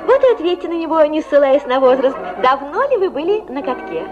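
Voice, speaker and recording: A high-voiced woman presenter reads her script with lively, wide-ranging intonation, speaking straight to her listeners. The sound is muffled, as on an old radio recording, with music behind her. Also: a little fast. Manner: addresses listeners directly, expressive delivery.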